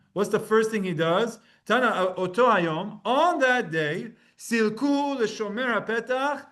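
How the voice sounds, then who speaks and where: A man teaching a lively Talmud class, switching between casual English and Hebrew terms and acting out the quotes with sudden bursts of energy. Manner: animated, acts out quotes with sudden jumps in pitch and loudness. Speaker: Torah teacher.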